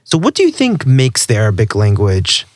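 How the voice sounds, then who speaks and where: A man hosting a podcast interview, asking measured questions and recapping his guest's story. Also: medium pitch, huge pitch swings, no room sound. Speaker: podcast host.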